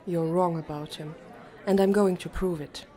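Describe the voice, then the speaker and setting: A stubborn teenage boy, played by a woman, in an audiobook drama. He talks casually and tersely, on the defensive, in a dark and slightly low voice. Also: fairly even intonation, normal speed, some chatter behind, close to an everyday voice.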